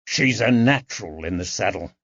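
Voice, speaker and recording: A man's voice: lowish voice, lively intonation, normal speed, fairly bright tone, slightly rough, no echo, heavily acted. A man voicing a good-natured, plain-spoken working-class father with homely, colloquial speech in an audiobook. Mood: proud. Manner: colloquial, homely, unschooled grammar. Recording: dull lo-fi recording.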